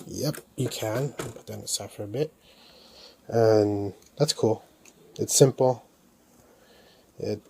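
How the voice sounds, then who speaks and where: Low-pitched man, casual unboxing chat. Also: a little mellow, slight background noise. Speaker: toy collector reviewing a helmet.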